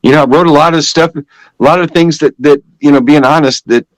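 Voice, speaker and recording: A man's voice: on the high side, steady tone, a little fast, no echo, poor audio. Older man giving earnest spoken testimony, dry sound. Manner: emphatic, repetitive, conversational storytelling. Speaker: podcast guest giving testimony. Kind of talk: personal religious testimony.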